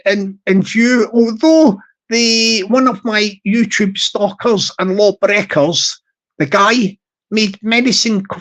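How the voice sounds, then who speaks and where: Man, high tenor voice, live-stream host, slow rambling delivery with lots of ums and repeats. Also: a bit bright, low-quality recording. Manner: slow, halting, many fillers and repeated words.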